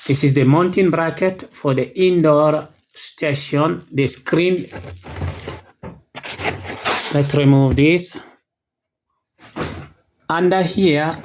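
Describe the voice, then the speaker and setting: An unboxing host giving a casual product walkthrough in short, simple, clipped phrases, at a medium pitch. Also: slow, long pauses. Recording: noisy, with clicks and crinkling packaging.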